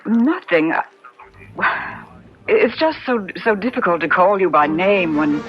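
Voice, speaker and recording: An actress playing an anxious, watchful wife in a radio drama, speaking hesitantly and earnestly. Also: female voice, mid-range voice, expressive, measured pace, muffled recording, natural voice. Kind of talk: 1970s radio drama acting. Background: dramatic music.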